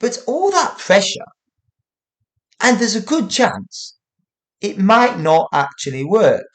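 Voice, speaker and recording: A man's voice: sits high, very animated, slow pace. A man hosting his own English-learning podcast, talking casually to listeners with frequent "you know" asides. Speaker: English teacher and podcast host. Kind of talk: solo educational podcast talk.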